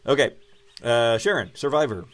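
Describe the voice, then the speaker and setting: A man's voice: medium pitch, animated, moderate pace, fairly bright tone. A man acting as game master, casually improvising and thinking aloud with hesitant phrasing. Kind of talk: casual improvised game narration and table talk.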